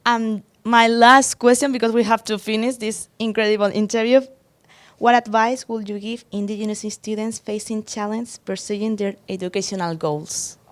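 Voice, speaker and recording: A woman's voice: fairly high pitch, steady tone, normal speed. A woman from Spain with a Spanish accent, hosting a conversational podcast interview. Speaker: podcast host.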